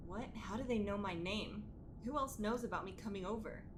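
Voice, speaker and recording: A woman's voice: medium pitch, a little fast, background noise, natural voice. A woman voicing a nervous, questioning young woman in a horror visual novel. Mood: alarmed, disbelieving.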